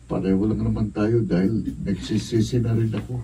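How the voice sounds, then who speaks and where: Actor as humble younger son, mellow voice, remorseful, emotional radio drama, slightly distant, faintly noisy. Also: male voice, lowish voice, little pitch movement, moderate pace, natural voice. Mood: regretful. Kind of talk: Filipino radio drama acting.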